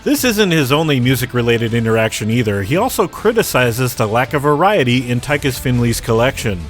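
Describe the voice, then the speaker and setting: A man's voice: mid-range voice, sing-song, a little fast. A man reading scripted video commentary as a narrator. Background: background music.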